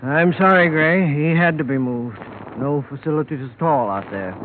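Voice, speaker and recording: An American actor playing a grave, businesslike doctor in an old radio drama, clinical and formal. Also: male voice, on the high side, moderate pace, narrow, tinny audio, natural voice. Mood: apologetic. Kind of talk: 1950s radio drama acting. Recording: noisy, band-limited vintage radio broadcast.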